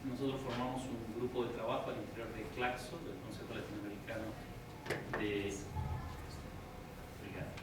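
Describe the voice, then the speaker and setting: An Argentine criminology professor, a Spanish-speaking man, giving an academic lecture in a halting way, with restarts. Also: on the low side, little pitch movement. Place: university auditorium. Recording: echoey lecture hall from far off, noisy.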